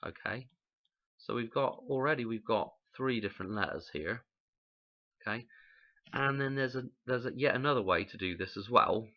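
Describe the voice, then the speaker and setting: Male host, low gravelly voice, croaky from a cold, bright edge, lo-fi sound. Loose, musing delivery. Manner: rambling, musing, frequent "okay?" check-ins. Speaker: channel host explaining number codes. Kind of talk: casual explanatory talk.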